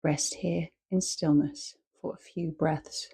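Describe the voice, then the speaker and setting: A woman leading a guided meditation as a teacher, speaking calmly and in short, measured phrases with long pauses. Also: low voice, creaky, husky.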